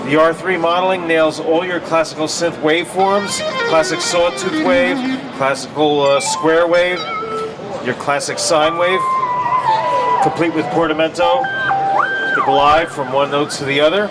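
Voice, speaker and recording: A man's voice: fairly high pitch, fairly even intonation, measured pace, loud music behind the voice. A middle-aged man giving a product demonstration at a trade show booth over a headset mic. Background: synthesizer sounds.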